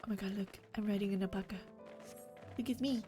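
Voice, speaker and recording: A woman's voice: mid-range voice, fairly even intonation, quick pace, dark tone. A woman game streamer on a live playthrough, reacting expressively and reading dialogue aloud. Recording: music behind the voice.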